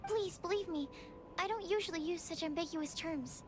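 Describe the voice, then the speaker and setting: Very high-pitched, earnest young alchemist girl. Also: normal speed, noisy background. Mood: polite, earnest. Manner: hesitant, polite, precise wording with stammers. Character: shy, earnest young female alchemist researcher, easily absorbed. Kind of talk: English video game voice acting. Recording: music clearly behind the voice. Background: game music.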